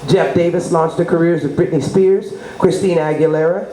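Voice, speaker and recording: A woman's voice: on the low side, fairly even intonation, a little fast, dark tone. Emphatic woman promoter in an echoey room. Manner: emphatic, boastful, frequent rhetorical check-ins. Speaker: radio personality and music promoter, interview guest. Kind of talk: promotional interview talk. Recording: roomy echo with clear background noise.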